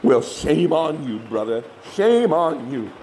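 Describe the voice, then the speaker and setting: A shameless Southern hillbilly monster hunter, pitched high and swinging all over in pitch. He is glib, folksy and self-righteous, bossing his kin around in a comedy horror audio play, with faint noise behind him. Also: big pitch swings, slow pace, mellow, poor audio. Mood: mock indignant. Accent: rural Southern US, hillbilly dialect. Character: bossy, shameless hillbilly monster hunter, scheming older brother.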